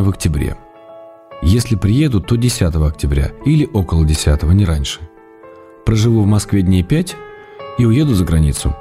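An actor voicing an affectionate writer in a radio drama, reading his letters in a measured, dryly humorous way. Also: fairly low pitch, warm tone, natural voice. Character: wry affectionate writer writing to his actress wife. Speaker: letter reader.

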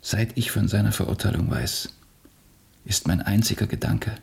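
An actor playing an earnest, guilt-ridden revolutionary in a radio play, speaking solemnly and deliberately. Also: male voice, lowish voice, little pitch movement, creaky, darkish tone, slightly rough, exaggerated voice. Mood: tormented resolve.

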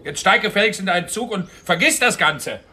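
A man's voice: high voice, animated, fairly quick, pushed and bright, faint music behind, exaggerated voice. An actor playing a blunt man with a biting temper in a radio crime drama, speaking emphatically in coarse words. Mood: scornful, gruff.

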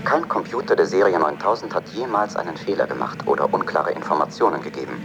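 A man playing a calm, softly menacing spaceship computer in a dubbed science-fiction film, clear and gently arrogant, with no electronic distortion. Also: sits low, fast, background noise. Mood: smug calm. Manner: gentle, blasé, quietly arrogant.

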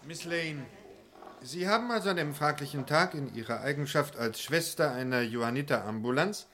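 A man's voice: on the high side, normal speed, fairly bright tone, slight background noise, lightly acted. A man playing a formal, insistent courtroom lawyer who questions precisely, in a German radio crime play. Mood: formal, probing.

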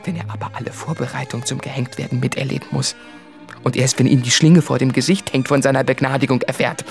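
A man's voice: mid-range voice, level tone, fast, creaky, faint music behind, close to an everyday voice. An actor playing an anguished, introspective man of about thirty reading out his confessional letters in a literary radio drama.

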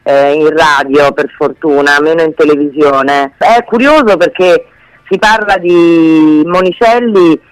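A woman's voice: sits low, measured pace, no room sound, poor audio. A woman speaking candidly and conversationally as an author being interviewed on the radio. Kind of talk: informal spoken radio interview.

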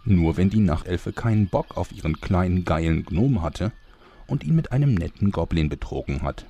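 A man voicing a gruff, wounded gnome father with crude humour and a husky voice in a comic radio play. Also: deep voice, lively intonation, normal speed, warm tone, heavily acted. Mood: bitter.

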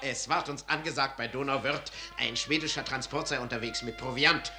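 An actor playing a boisterous, jovial, spendthrift cavalry general with an exuberant manner, in a 1950s radio drama. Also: male voice, mid-range voice, fairly even intonation, moderate pace, over music, lightly acted. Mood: jovial, eager. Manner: exuberant, chuckling. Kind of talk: 1950s German radio drama verse acting.

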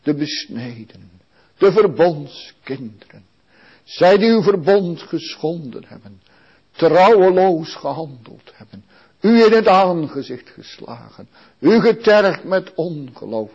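A man leading a long public prayer in a slow, solemn and pleading way. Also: a little high, expressive, a little mellow, husky, lo-fi sound. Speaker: praying minister.

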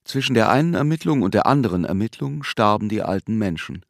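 An actor as the narrator of a German radio play, a measured, sombre storyteller reading literary prose. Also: male voice, fairly low pitch, expressive, no echo, clean studio sound. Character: measured, sombre storyteller of a besieged resort town.

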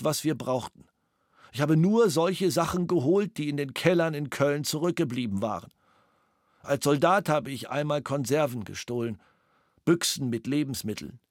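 A man's voice: on the high side, no room sound, studio quality. An actor playing an unrepentant male suspect who gives his statement in a terse, matter-of-fact way in a documentary radio play.

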